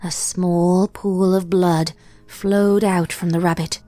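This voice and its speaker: A woman reading a dark fanfic aloud in a measured, deliberate storytelling manner. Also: on the low side, steady tone. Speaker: fanfic reader. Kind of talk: dramatic story narration.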